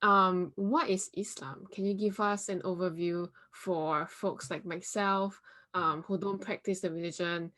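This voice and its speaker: Female interview host with a lowish voice. Conversational and affirming, asks open questions. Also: fairly even intonation, a little slow, fairly bright tone. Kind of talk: university interview show.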